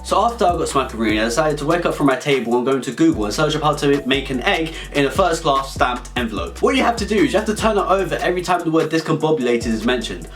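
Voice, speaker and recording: A man's voice: on the high side, expressive, fast, few pauses. A British man delivering an absurd comedic storytelling monologue in a rambling, mock-serious way. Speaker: comedy video creator. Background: background music.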